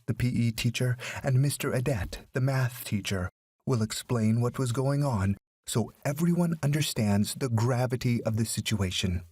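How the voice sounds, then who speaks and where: A man's voice: mid-range voice, a little mellow, slightly rough, dry recording. A man voicing a stern, resolute woman school principal with a formal, firm manner in a story.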